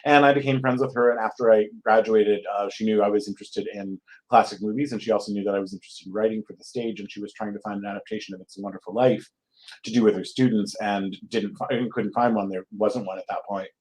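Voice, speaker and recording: A man from Connecticut speaks as an interview guest in a slightly low voice. He is casual and thoughtful, often pausing as he searches for the next phrase. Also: steady tone, a little mellow, rough recording. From Connecticut, United States. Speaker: guest playwright.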